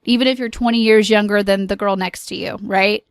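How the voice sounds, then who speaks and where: A woman hosting a solo podcast, talking earnestly and encouragingly with pauses and frequent ums. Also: mid-range voice, steady tone, smooth, dry recording, studio quality. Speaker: podcast host.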